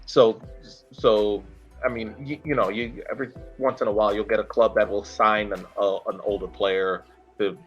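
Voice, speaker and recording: A man in his thirties talking casually about sport as a podcast host, halting and searching for words as he recounts details. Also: on the low side, steady tone, a bit bright, highs cut off, soft background music. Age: 30s. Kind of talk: casual sports talk, recounting a player's career.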